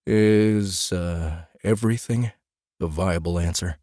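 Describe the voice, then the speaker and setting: A man playing a gruff, low-voiced irritated farmer. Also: slow pace, mellow, no room sound, studio quality. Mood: annoyed, blunt. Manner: blunt, hesitant, exasperated. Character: gruff, irritated rough-looking family man, an orchard farmer.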